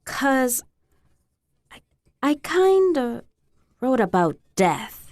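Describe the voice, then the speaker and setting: Woman as guarded teenage girl, high slow voice. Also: sing-song, darkish tone, no room sound, heavily acted. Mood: frightened, anguished. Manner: hesitant, defensive. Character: troubled, guarded teenage girl, sullen and frightened. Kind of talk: staged dramatic reading.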